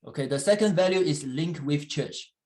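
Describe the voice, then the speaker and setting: A man delivers a sermon in English, which is not his first language, in a slightly raised pitch. He speaks haltingly, pausing and restarting as he searches for words. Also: expressive, dark tone. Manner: halting, with frequent fillers and restarts. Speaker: guest church leader. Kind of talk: sermon in English with live interpretation.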